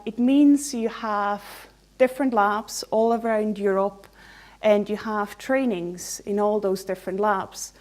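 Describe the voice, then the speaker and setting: A woman's voice: mid-range voice, darkish tone. Swiss woman giving a candid conference talk. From Switzerland. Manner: candid and conversational, with dry humor. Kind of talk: informal conference presentation.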